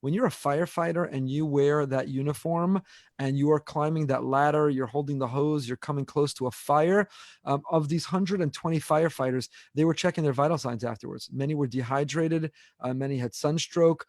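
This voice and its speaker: A man's voice: fairly high pitch, steady tone, a little fast, a little mellow, no room sound, clean studio sound. A man in his forties, a rabbi hosting an unscripted podcast discussion, talking earnestly and repeating words as he builds his point. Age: 40s.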